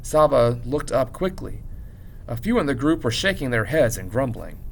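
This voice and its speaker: Guy reading a story out loud teacher style, gravelly creak in his voice, dramatic pauses, bit of background rumble. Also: sing-song. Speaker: English teacher reading aloud to a class. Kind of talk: expressive audiobook-style story narration.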